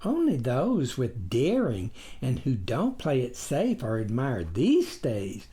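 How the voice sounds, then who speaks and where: A man acts out an eager, folksy tempter from an audiobook. The pitch swoops widely as he wheedles and needles, always persuading. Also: wildly swooping pitch, slow pace, dark tone. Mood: persuasive, insistent. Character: Snuffer, eager, persuasive imaginary tempter partner. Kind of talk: audiobook character voice.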